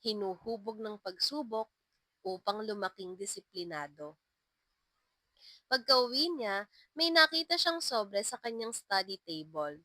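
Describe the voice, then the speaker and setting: A woman's voice: medium pitch, bright, forceful tone, no room sound. A woman narrating a scripted love story as a storyteller.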